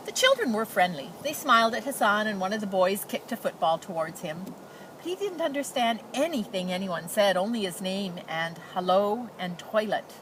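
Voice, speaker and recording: A woman reading a children's picture book aloud as a storyteller in expressive narration. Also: mid-range voice. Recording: noticeable background noise.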